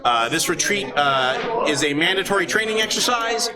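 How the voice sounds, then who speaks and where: A man running a tabletop game as game master, improvising scene descriptions haltingly with frequent drawn-out uhs. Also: high voice, animated. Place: live show at a gaming convention. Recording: live convention stage, loud crowd.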